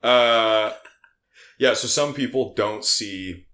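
A man who does stand-up comedy, chatting casually with deadpan, crude jokes and bursts of mock outrage. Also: medium pitch, slow. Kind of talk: crude joking banter.

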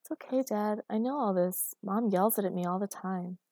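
A gossipy teenage girl, voiced by a woman narrator, unfazed and matter-of-fact. She chats in a mellow tone, in a dry storybook recording. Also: mid-range voice, normal speed, no echo, lightly acted. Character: unfazed, gossipy sixteen-year-old girl. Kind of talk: audiobook character dialogue.

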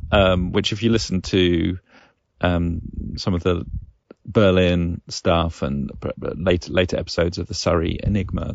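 A man hosting a solo podcast in a casual, reflective way, thinking aloud in halting phrases. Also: deep voice, gravelly, dry recording, lo-fi sound. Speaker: podcast host and game master.

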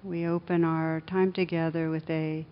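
A woman leading a guided meditation as the teacher, speaking in soothing, measured short phrases with pauses. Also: level tone. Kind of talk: guided meditation, slow instructive speech. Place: a room with a live group. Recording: dull lo-fi recording, clear background rumble noise.

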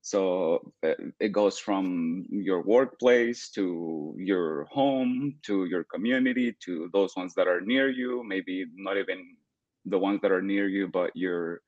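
Deep-voiced man. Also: a little mellow, no echo, muffled recording. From El Salvador. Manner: earnest and deliberate, many "uh" and "you know" fillers. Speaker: guest, political activist. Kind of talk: podcast interview.

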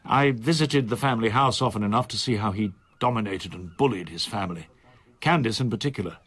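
An actor playing a reserved solicitor in his sixties in a radio drama, with a measured, formal, precise manner. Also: lowish voice, fairly bright tone, husky. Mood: quietly confiding. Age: 60s. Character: reserved older male solicitor, in his sixties, quietly confiding.

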